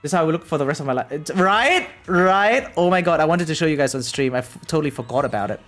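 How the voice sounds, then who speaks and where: An excitable variety streamer with a mid-range voice and very lively, swinging intonation. The talk is casual live commentary, slangy and sweary, addressed to viewers, with music faintly behind it. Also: mid-range voice, quick pace.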